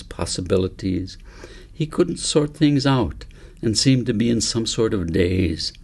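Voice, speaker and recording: A man narrating a novel as its author, in measured, deliberate phrases with pauses. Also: lowish voice, vocal fry, darkish tone. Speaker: author reading his novel. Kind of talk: scripted audiobook narration.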